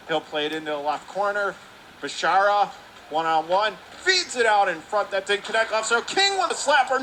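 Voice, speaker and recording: A man in a broadcast booth calling a hockey game play by play, with rapid, clipped calls that climb higher on shots and saves. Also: pushed and bright, a little hiss or hum. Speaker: radio play-by-play announcer. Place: broadcast booth above an ice rink.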